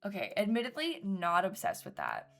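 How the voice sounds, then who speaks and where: A woman's voice: mid-range voice, wildly swooping pitch, moderate pace, creaky, a bit bright, faint music behind. A young woman streaming a building game from home, sounding congested from a cold and thinking aloud with lots of ums and little giggles. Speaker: gaming streamer. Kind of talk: casual live-stream chat while building in a game.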